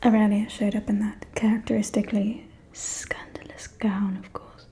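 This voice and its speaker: A woman playing a catty, gossipy aristocratic vampire socialite in an ASMR roleplay, speaking in a soft, breathy, conspiratorial whisper with drawn-out, teasing delivery. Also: medium pitch, flat delivery, lightly acted.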